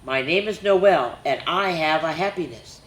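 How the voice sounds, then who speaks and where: A woman's voice: low-pitched, measured pace, recorded from a distance. Woman voicing a happy little glass ornament. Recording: roomy echo.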